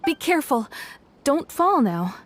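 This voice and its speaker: A woman playing a gentle, caring wife who runs a flower shop in a video game. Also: high voice, very animated, a little slow. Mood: caring caution. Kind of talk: video game character dialogue.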